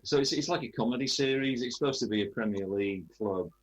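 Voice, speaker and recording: A man's voice: mid-range voice, quick pace. A man interviewing in a chatty sports radio style, hesitantly rephrasing his questions as he goes.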